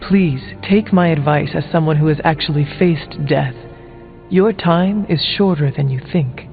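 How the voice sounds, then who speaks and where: Game warrior hero: stern, resolute, blunt, formal diction, somewhat high male voice, narrow phone-like sound. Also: normal speed, vocal fry. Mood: grave, insistent. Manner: formal, uncontracted speech, solemn, blunt. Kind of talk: video game character dialogue. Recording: thin phone-like audio, music behind.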